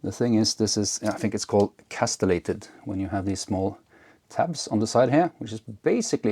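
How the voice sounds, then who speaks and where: A man's voice: on the low side, creaky, mellow, slightly rough. A man giving a casual hands-on demonstration, thinking aloud hesitantly as he works. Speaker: host of an electronics and ham radio build video.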